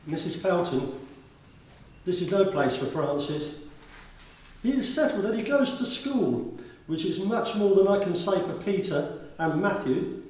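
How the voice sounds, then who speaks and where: Echoey actor as high-pitched, courteous male school officer. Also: expressive, a little slow, long pauses, a little mellow. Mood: firm concern. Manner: courteous, formal address, talkative. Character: kindly, chatty male school attendance officer, polite and concerned. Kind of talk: radio play acting. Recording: very echoey room, distant from the mic.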